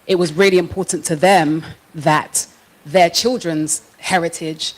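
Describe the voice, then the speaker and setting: A woman's voice: lowish voice, steady tone, measured pace, rough recording. Young london woman on a panel, thoughtful, frank, live audience. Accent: British, London. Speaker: panel guest. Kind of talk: panel discussion.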